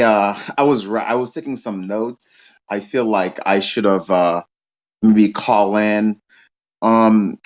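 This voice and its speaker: A man's voice: on the low side, dull audio. A man from Haiti, speaking as a panel guest and sharing strong opinions in a long-winded way, often checking in with "okay?". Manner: long-winded, frequent "okay?" tag questions. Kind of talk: opinionated call-in talk.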